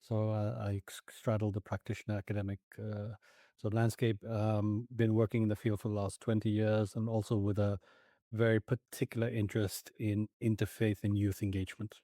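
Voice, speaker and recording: A man's voice: fairly low pitch, flat delivery, fairly quick, dark tone, dry recording, clean studio sound. A man in his forties, raised in Nigeria, Ethiopia and the UK, speaking reflectively as an interview guest with many restarts. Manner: reflective, with frequent restarts and fillers. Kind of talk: conversational interview.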